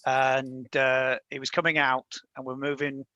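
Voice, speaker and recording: Yorkshire guy in his 50s, bright fairly high voice, rambly, dry close sound. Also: completely flat delivery, measured pace, no room sound, muffled recording. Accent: light Yorkshire. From Yorkshire, England. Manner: chatty, rambling storyteller with frequent restarts. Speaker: panel guest, comic creator. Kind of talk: casual panel chat.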